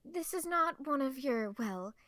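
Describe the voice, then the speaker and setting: Woman as prim high-voiced anime maid. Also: expressive, a little slow, fairly bright tone, no room sound. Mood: stern. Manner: formal, reproving politeness. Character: prim, composed young maid, politely stern. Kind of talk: anime comic dub.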